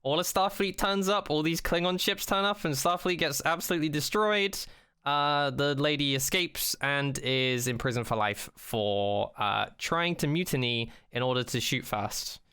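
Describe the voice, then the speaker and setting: A man's voice: on the high side, moderate pace, pushed and bright, clean tone, no echo, studio quality. A man co-hosting a podcast, chatting casually and jokingly with blunt, comically exaggerated emphasis. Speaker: podcast co-host. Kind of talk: casual, joking chat about sci-fi television.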